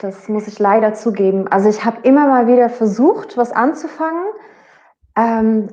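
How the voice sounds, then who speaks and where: A woman's voice: a little high, mellow. Dutch singer woman, dull call audio. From Netherlands. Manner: reflective, hesitant, searching for German words. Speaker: guest singer. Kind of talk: casual interview talk.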